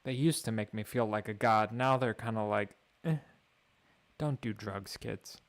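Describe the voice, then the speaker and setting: A young man streaming alone, thinking out loud in a wry, mock-grand way. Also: lowish voice, unhurried. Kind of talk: rambling livestream monologue.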